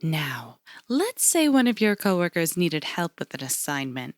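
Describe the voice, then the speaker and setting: A woman playing an unflappable, eerie agency interviewer in an audio drama, measured and clinical as she probes. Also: low voice. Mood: composed, probing. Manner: measured, clinical, calmly probing.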